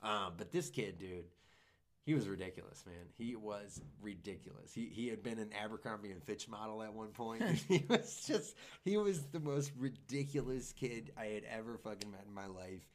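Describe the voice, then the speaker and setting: A young man with a fairly low voice talks in a loose, rambling way, cursing often as he drifts from one story to the next. He sounds like a comedian in an easygoing podcast chat. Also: animated, moderate pace. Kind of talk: casual chat.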